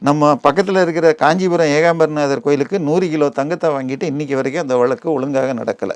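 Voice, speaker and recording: Tamil nadu guy, bit high pitched, news explainer who goes off on tangents, very dry sound. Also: fast talker, no echo. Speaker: interviewed commentator. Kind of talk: explanatory news interview talk.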